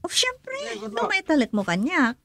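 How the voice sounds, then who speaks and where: A woman's voice: sits high, wildly swooping pitch, normal speed, a bit bright, a little hiss or hum, heavily acted. A woman playing an inquisitive, teasing friend in a radio drama, with a probing way of talking. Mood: curious, probing. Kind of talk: Ilocano radio drama acting.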